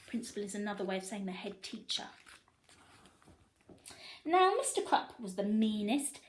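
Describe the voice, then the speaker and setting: Animated woman reading to young children. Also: on the high side. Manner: animated, asks listeners questions. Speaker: story reader for young children. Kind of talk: expressive children's storybook reading.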